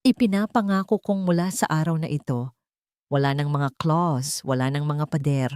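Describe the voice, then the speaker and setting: Romance story lover: earnest, tender young man, played by a woman, low-pitched, dry studio sound. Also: moderate pace, a little mellow, smooth, no echo, lightly acted. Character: earnest young man in love, proposing and vowing.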